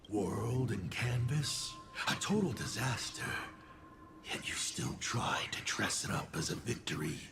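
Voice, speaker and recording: An actor playing a sinister, mocking lord of destruction in a video game, with a breathy, near-whispered voice and a slow theatrical drawl. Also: male voice, on the low side, full character voice. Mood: scornful. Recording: game music behind the voice.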